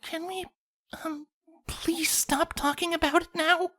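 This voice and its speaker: A man voicing a timid, gentle young female cartoon pegasus, meek, quiet and hesitant. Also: very high-pitched, fairly even intonation, slow, pitched above the performer's own voice, exaggerated voice.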